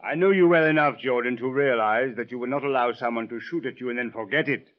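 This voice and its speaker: An actor playing a stern, principled police officer with precise, formal, authoritative speech in 1940s radio drama style. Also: male voice, mid-range voice, fast talker, natural voice. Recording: muffled old radio broadcast.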